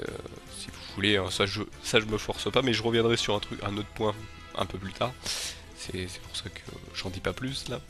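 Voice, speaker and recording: A man's voice: deep voice, a bit bright. Man with a cold, rambling. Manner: rambling, hesitant, many filler sounds and restarts. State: has a head cold. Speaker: channel host. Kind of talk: casual video announcement to viewers.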